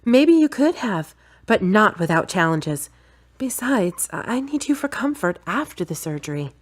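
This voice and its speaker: A woman playing a frank, slightly bossy and stubborn girlfriend in an audio drama, brisk and matter-of-fact. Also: mid-range voice, sing-song. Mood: exasperated, defensive. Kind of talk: acted audio drama dialogue.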